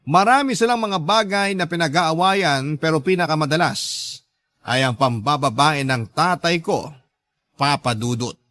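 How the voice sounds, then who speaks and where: Higher-pitched Filipino male radio storyteller. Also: moderate pace, a bit bright. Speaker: radio host reading listener letters. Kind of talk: dramatic radio storytelling narration.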